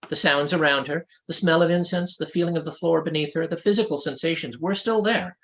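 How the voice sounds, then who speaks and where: A man narrates a fantasy story as its author. His voice is a little high for a man and moves widely in pitch, giving the scripted prose an expressive shape. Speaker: author reading his own novel.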